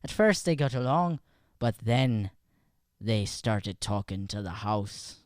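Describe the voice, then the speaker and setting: A man's voice: fairly low pitch, animated, takes it slowly, dry recording, clean studio sound. A man performing as an improv comedian, bantering and recapping a show informally into a podcast microphone.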